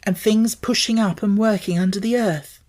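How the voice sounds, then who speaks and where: Storybook young girl, woman narrator acting: earnest, high-voiced, breathless, words tumbling out. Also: fairly even intonation, normal speed, a little mellow, close to an everyday voice. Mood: eager, urgent.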